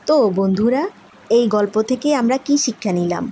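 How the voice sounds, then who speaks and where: A woman's voice: fairly high pitch, expressive, moderate pace, mellow, a little hiss or hum. A woman storyteller reading scripted moral tales for children.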